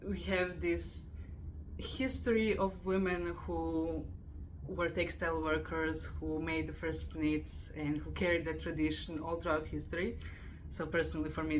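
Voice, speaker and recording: A woman hosting an interview podcast, upbeat and quick to laugh. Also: on the low side, a little hiss or hum. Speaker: host.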